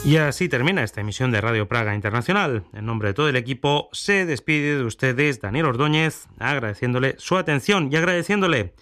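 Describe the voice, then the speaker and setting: A man hosting an international radio program, presenting with a measured, formal announcer delivery. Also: mid-range voice, lively intonation, a bit bright, soft background music. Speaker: radio host.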